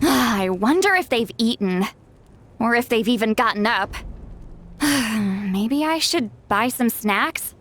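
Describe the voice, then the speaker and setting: A woman's voice: fairly high pitch, lively intonation, slow, a bit bright. An actress playing a proud, fretful, easily exasperated shaman woman in an anime game, speaking bluntly. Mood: worried.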